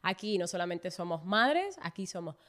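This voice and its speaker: A woman's voice: fairly low pitch, very animated, normal speed, fairly bright tone. A woman from the Republic, co-hosting a casual podcast chat and talking with enthusiasm, often restarting her sentences. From Dominican Republic. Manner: enthusiastic, restarts and trails off with fillers. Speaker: podcast co-host.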